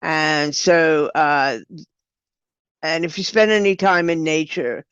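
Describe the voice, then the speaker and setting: Older lady w a low clear smooth voice, chatting as an interview guest, dry kinda dull audio. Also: slow, dry recording. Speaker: guest, writer and director. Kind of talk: conversational interview answers.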